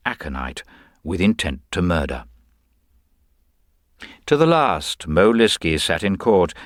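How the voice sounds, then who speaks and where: A man reading a detective story as scripted literary narration, measured, formal and precise. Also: low voice, dry recording, studio quality.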